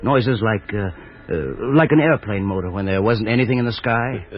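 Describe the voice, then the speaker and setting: Low-voiced, curt private detective. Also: male voice, animated, moderate pace. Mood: sardonic, demanding. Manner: clipped, commanding. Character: curt, impatient, sinister male private detective. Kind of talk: 1930s-40s radio drama acting. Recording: muffled, hissy old-time radio recording.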